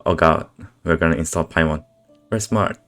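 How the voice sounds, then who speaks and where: A woman's voice: very deep voice, moderate pace. A woman streaming a game, giving casual, offhand short reactions with some swearing. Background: game music.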